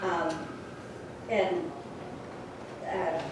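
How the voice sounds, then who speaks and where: A woman's voice: on the low side, little pitch movement, drawn-out words. A woman from Spain moderates a panel, stumbling and restarting her questions as she asks them. She sits far from the microphone. The room has heavy echo, with a layer of background noise. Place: large echoey lecture room. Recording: echoey room, distant mic, background noise.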